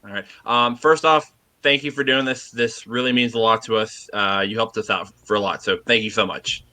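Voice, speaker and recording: A man's voice: fairly low pitch, fairly even intonation, normal speed, pushed and bright. A man calling in as a fan, asking questions politely with many ums. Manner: polite, lots of ums, nervous. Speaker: fan caller. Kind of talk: casual video call question.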